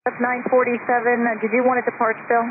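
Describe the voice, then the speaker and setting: An air traffic controller giving clipped, sometimes halting instructions over an aviation radio. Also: medium pitch, flat delivery, phone-quality sound. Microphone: radio transmission. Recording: noisy band-limited air traffic radio.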